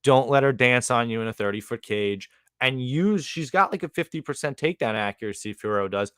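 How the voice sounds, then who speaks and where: A man co-hosting a podcast, breaking down fight betting lines in an animated, slangy way. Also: medium pitch, brisk, clear, resonant tone, dry recording, clean studio sound. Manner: animated, slangy, calls people dog. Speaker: podcast co-host, betting analyst. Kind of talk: casual sports betting chat.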